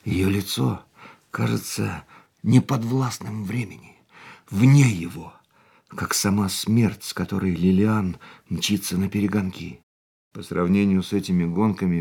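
An actor in a radio drama playing a weary, haunted war veteran of about forty, reflective and measured, with brooding pauses. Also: male voice, low-pitched, steady tone, creaky, darkish tone, slightly rough, exaggerated voice. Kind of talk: radio drama acting, dialogue and monologue. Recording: studio radio play with music behind.

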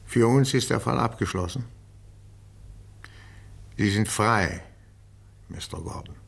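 An actor playing a shrewd, stern investigator in a radio crime drama, questioning formally and deliberately. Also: male voice, lowish voice, creaky, full character voice. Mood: measured, solemn.

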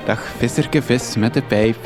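A man's voice: mid-range voice, a little slow, music in the background, lightly acted. A man with a Flemish accent playing a naive, pompous young journalist in a comic radio drama, declaiming lyrically. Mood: melodramatic, dreamy.

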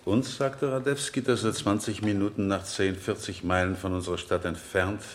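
Radio play casting: male actor as deep-voiced defence lawyer, measured, formal, polite, on a slightly noisy old recording. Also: steady tone, natural voice. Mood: formal, composed. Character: composed, courteous male defence lawyer questioning a witness. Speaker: lawyer questioning a witness. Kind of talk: 1970 German radio play acting.

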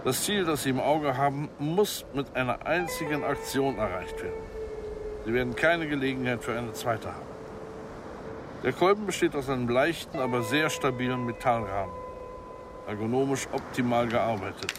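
A man's voice: medium pitch, lively intonation, moderate pace, noisy background, close to an everyday voice. An actor in a radio play voicing a businesslike male arms expert and professional killer, methodical and formally polite in his instructions. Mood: calm, instructing.

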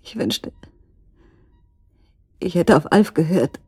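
A woman's voice: fairly low pitch, lively intonation, slow pace, husky, no room sound, clean studio sound, exaggerated voice. An actress playing an anxious, searching woman with an earnest manner in a naturalistic radio drama. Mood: anguished, hushed. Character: anxious, searching woman making tense inquiries. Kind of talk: naturalistic radio drama dialogue and intimate monologue.

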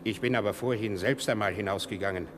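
A man's voice: on the low side, fast talker, close to an everyday voice. A man acting in a German radio drama as a practical, matter-of-fact waterworks supervisor, with noise behind him. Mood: concerned, assured. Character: steady, practical male night waterworks supervisor.

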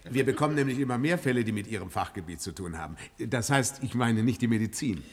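An actor playing a courtly senior judge with a slightly husky voice and a dry, teasing manner in a German radio play. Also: male voice, medium pitch, expressive, fast, natural voice. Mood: courteous. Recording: reverberant hall, radio play.

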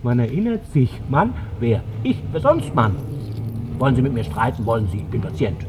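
A man's voice: medium pitch, very animated, normal speed, background noise, lightly acted. An actor playing an agitated, contrary older male psychiatric patient in a radio drama, abrupt and theatrical. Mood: rambling, defiant.

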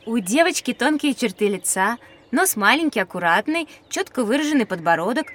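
Woman playing a curious, high-voiced clever child. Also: expressive, a little fast, fairly bright tone. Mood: curious, knowing. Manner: inquisitive, articulate. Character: bright curious child on a time-travel lesson. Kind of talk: scripted educational audio drama.

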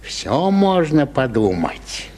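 A man's voice: a little high, very animated, slow pace, a little mellow. A man acting a sly, wary official in a radio play, speaking in a drawling, insinuating way. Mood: disapproving.

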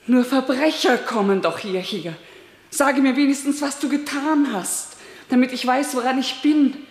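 An actress playing an anguished, reproachful middle-aged mother in a German radio drama, plaintive and insistent. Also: fairly high pitch. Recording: echoey, long reverberant room sound.

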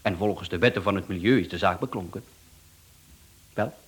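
A man's voice: low-pitched, fairly quick. An actor playing a cocky, businesslike hitman in a radio play, formal and easily offended. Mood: businesslike, insistent. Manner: formal, smooth-talking salesman patter, indignant.

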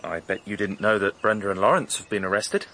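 Earnest young british bloke, nosy amateur sleuth, radio drama, bit of noise behind him. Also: male voice, on the low side, fairly quick, sharp tone. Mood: matter-of-fact. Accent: British English. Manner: earnest, questioning. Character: earnest young suitor turned amateur detective, inquisitive.

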